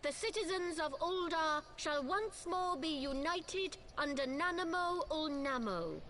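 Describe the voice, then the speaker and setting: An actress voicing a composed, dignified noblewoman leader in a video game, speaking in a formal, measured, commanding way. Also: high-pitched, expressive, fairly bright tone. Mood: solemn. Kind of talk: video game cutscene acting.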